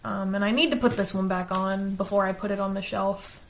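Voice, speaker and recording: A woman with a South Carolina Southern accent talks straight to her viewers in a casual, earnest way, mixing loose chat with lines read from a script. Her voice sits at an ordinary middle pitch. She holds back her natural quick pace to say each word clearly. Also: fairly even intonation. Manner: quick-talking, chatty and earnest, slows down to enunciate. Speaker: channel host, book reviewer. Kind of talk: casual talk to camera, partly read from a script.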